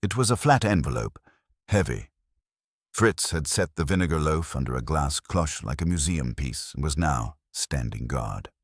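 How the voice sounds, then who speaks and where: A man's voice: very deep voice, animated, moderate pace, no echo. A man reading scripted first-person detective fiction as an audiobook narrator, with a wry, dry wit.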